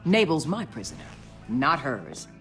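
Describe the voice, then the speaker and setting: A woman's voice: rumbling low, huge pitch swings, unhurried, a bit bright, soft background music, exaggerated voice. A woman playing a ruthless government agency chief in a video game, with a hard, blunt, authoritative manner. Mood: impatient. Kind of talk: dramatic video game acting.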